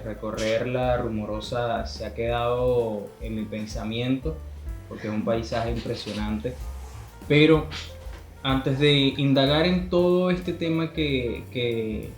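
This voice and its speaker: A man hosting an interview, asking questions in a slow, deliberate way. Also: medium pitch, fairly even intonation. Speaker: interviewer host. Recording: roomy echo with faint music.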